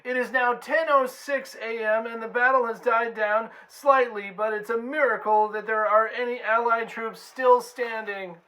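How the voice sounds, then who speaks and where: A woman's voice: a little high, fairly even intonation, moderate pace, hardly any pauses, fairly bright tone, lightly acted, low-quality recording. A woman playing a solemn, patriotic 1940s war newscaster reading a scripted radio report. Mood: solemn, resolute.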